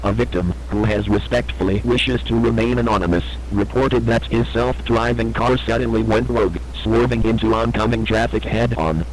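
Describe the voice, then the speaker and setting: A man's voice: on the low side, steady tone, moderate pace, few pauses, slight background noise, lightly acted, rough recording. A man playing a grave emergency broadcast announcer, reading a formal, solemn alert. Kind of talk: scripted emergency alert narration.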